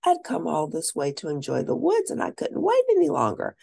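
A woman reading a children's book aloud as an expressive storyteller, stressing and stretching words for drama. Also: deep voice, gravelly. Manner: animated, dramatic emphasis with drawn-out words.

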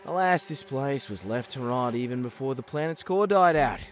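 A man's voice: mid-range voice, expressive, moderate pace, lightly acted. Man playing smug, chatty killer robot. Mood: smug, explanatory. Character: chatty, slightly smug male killer robot squad member. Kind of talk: fan comic dub acting. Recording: music behind the voice.

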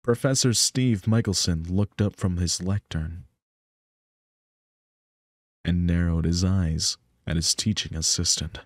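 A man's voice: low-pitched, steady tone, takes it slowly, long pauses, dry recording, clean studio sound. A young man narrating a visual novel as a storyteller. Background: music.

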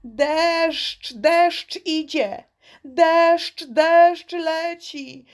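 A woman voicing a small bossy frog in a children's verse story, calling out emphatic, drawn-out warnings. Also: extremely high pitch, voice pushed up, full character voice. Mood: urgent warning.

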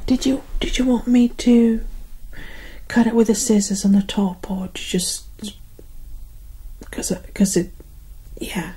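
A woman in her thirties speaking softly and gently in a casual ASMR roleplay as a barber, often close to a whisper, near the mic at home. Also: on the high side, husky, low-quality recording. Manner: gentle, unhurried, casual one-sided chat. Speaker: ASMR performer playing a barber. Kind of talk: soft-spoken ASMR personal-attention roleplay. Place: living room at home.

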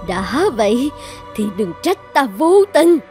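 A proud young dragon goddess played by a woman with a high voice. She speaks theatrically and clearly, like a cartoon fairy-tale wife, over faint music. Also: sing-song, slow, dark tone, exaggerated voice. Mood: betrayed, vengeful. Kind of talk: animated fairy tale dubbing.

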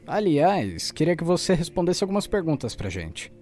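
A man's voice: a little high, big pitch swings, moderate pace, a little mellow. A Brazilian man acting in an audio drama as a bold, determined journalist who talks in an insistent, colloquial way. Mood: serious, probing. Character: determined male journalist, bold, stubborn investigator.

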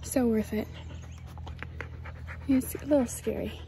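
Sex: woman. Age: 20s. Style: casual vlog chat, chatty and upbeat. Pitch: fairly high. Mic: phone at arm's length. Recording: noticeable background noise. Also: fairly even intonation, takes it slowly, dark tone. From Minnesota. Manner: chatty and upbeat, talking to her dog and the camera. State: sweaty after a climb. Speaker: vlogger hiking with her dog. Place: in a car and outdoors on a wooded bluff. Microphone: phone camera held at arm's length.

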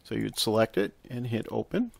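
A man narrating a casual software tutorial, explaining step by step in an instructional way with hesitant pauses. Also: on the low side, sing-song, vocal fry, no echo. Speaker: tutorial host. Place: close, dry indoor voice-over for a screen recording.

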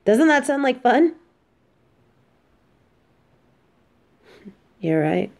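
A woman's voice: high-pitched, big pitch swings, moderate pace, creaky, darkish tone, full character voice. A woman playing an affectionate, teasing supervillain girlfriend in an intimate roleplay audio drama, speaking tenderly and playfully. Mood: tender, content.

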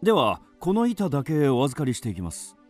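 An actor playing a courteous, guarded police detective in a radio drama, speaking formally and politely. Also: male voice, on the high side, huge pitch swings, fairly quick, a little mellow, soft background music.

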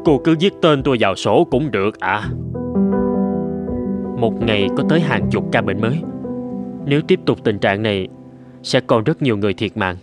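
A man's voice: lowish voice, animated, moderate pace, pauses often, lightly acted. A man playing an earnest, caring country doctor in a cartoon dub, serious and concerned. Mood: troubled. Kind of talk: cartoon dub with first-person storytelling. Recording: music under the voice.

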